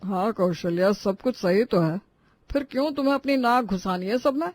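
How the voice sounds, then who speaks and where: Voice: mid-range voice, normal speed, darkish tone, no room sound, close to an everyday voice. An actor voicing a henpecked middle-aged father-in-law in a cartoon family drama, teasing his wife and then backing down. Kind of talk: animated family drama voice acting in Hindi.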